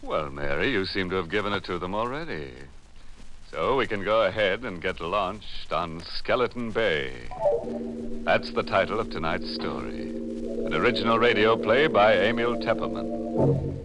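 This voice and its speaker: Casting: male old-time radio mystery host, deep voice, wry and teasing, loud music on a vintage broadcast. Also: lively intonation, normal speed, poor audio. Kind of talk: 1940s radio host introduction.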